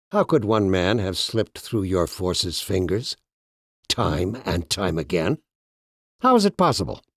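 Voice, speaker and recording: An actor playing a pompous, authoritarian male administrator in a video game, giving measured, formal, oratorical speeches. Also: mid-range voice, no room sound, clean studio sound. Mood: indignant scolding. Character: pompous authoritarian male administrator, polished collaborator addressing his subjects.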